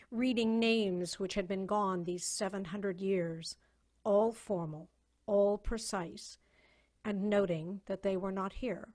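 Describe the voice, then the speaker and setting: A woman narrates with theatrical gravity. Her pace is slow and measured and her pitch sits in the middle, in a dramatic story reading recorded on a video call. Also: a little mellow.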